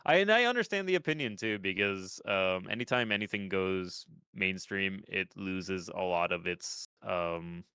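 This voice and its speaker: A man's voice: deep voice, animated, a little slow, hardly any pauses, bright, forceful tone, clean tone, dry recording, clean studio sound. A young man with a stuffed-up nose, chatting on a podcast in a rambling way, with lots of restarts and casual swearing. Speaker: podcast host.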